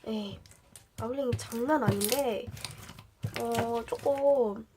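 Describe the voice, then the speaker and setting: A woman's voice: fairly high pitch, slow pace. A young woman with a slight cold showing slime to viewers in a casual chatty vlog, full of fillers and questions to the audience. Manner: chatty, many fillers, addresses viewers directly. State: has a cold, voice a bit changed. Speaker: slime reviewer. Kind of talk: casual show-and-tell vlog. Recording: noisy home recording.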